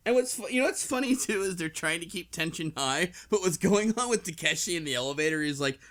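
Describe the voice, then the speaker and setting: A man's voice: fairly high pitch, expressive, quick pace, a bit bright. Casual man co-hosting a book club. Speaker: book club podcast co-host. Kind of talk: casual chat, recapping a visual novel.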